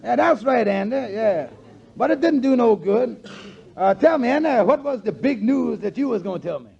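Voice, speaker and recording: An actor playing an earnest, fretful, plain-dealing friend in a 1940s radio comedy. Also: male voice, extremely high pitch, lively intonation, normal speed, creaky, darkish tone, heavily acted. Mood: helpful. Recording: muffled 1940s radio recording.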